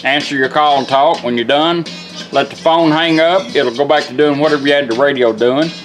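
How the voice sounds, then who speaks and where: A man's voice: on the high side, a little fast, rough recording. A man giving a casual, hands-on product demonstration in a folksy, plain-spoken, instructional way. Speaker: radio restorer demonstrating a product. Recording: music playing behind the voice. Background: music from a radio.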